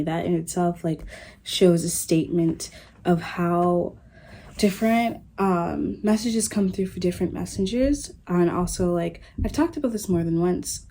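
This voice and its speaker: Soft, musing woman giving a psychic reading. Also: on the low side, a little hiss or hum. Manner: dreamy, musing, soft, with light giggles. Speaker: psychic reader channeling messages. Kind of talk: casual spiritual reading to camera.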